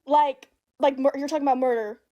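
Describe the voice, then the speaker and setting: A woman's voice: high-pitched, steady tone, a little fast, dull audio. An eighteen-year-old woman with a babyish voice, arguing hesitantly as a caller and leaning on filler words.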